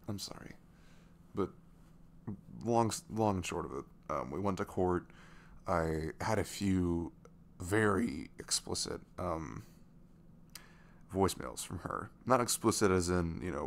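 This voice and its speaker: Sweet, wounded single dad played by a male actor for romantic ASMR audio: very deep, hesitant, intimate, with background noise. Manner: hesitant, soft-spoken, halting.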